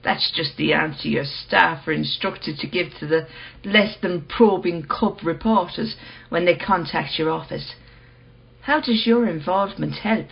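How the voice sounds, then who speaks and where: An actress playing a cool, probing woman who will not budge, measured and blunt, in a radio play. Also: lowish voice, vocal fry, dull audio. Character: cool, probing, unyielding female owner of rare mirror.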